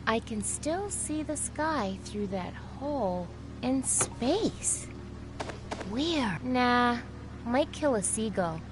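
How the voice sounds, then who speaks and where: A woman's voice: fairly high pitch, lively intonation, slow pace, music in the background, low-quality recording. A woman playing a plucky, wry teenage girl adventurer in a video game, musing aloud. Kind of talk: video game character dialogue.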